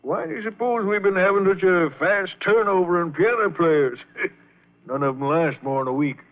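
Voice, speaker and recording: An old-time radio drama cook, older and folksy, with a slangy, knowing way of talking. His voice sits a bit high for a man and comes through a tinny, narrow-band vintage recording. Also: moderate pace. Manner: slangy, folksy, drawling asides. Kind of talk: 1940s radio acting.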